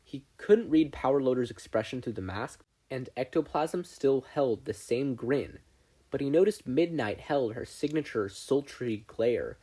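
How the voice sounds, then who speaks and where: A podfic narrator reads fiction aloud. The delivery is animated and expressive, with plenty of pitch movement and a slightly rough, breathy voice. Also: a little slow. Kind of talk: expressive scripted story narration.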